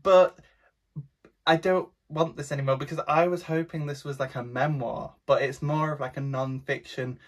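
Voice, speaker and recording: A young man talks casually to camera, his slightly high voice worn hoarse. He rambles and rants with playful exaggeration, speaking loosely and chattily. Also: steady tone, normal speed. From Britain. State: losing his voice. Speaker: book vlogger.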